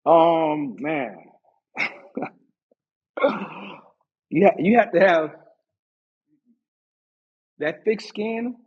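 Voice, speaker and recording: A man with an American accent, talking casually as an interview guest, haltingly repeating phrases as he recounts his experience. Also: high-pitched, very animated, creaky, slightly rough, highs cut off. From Orlando, Florida, United States. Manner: halting, repeats phrases, checks in with "you know". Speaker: podcast guest, martial arts instructor. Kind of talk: casual conversational storytelling.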